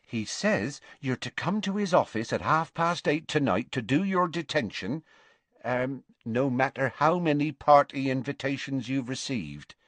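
Man voicing a hesitant higher-pitched schoolgirl messenger. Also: animated, a little slow, fairly bright tone, dry recording. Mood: hesitant, apologetic. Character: young schoolgirl teammate delivering an awkward message.

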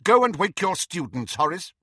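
A man's voice: high-pitched, sing-song, husky, no echo, clean studio sound, voice pushed up, heavily acted. Man voicing a stern, commanding witch professor. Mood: firm. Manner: brisk, authoritative, sharp.

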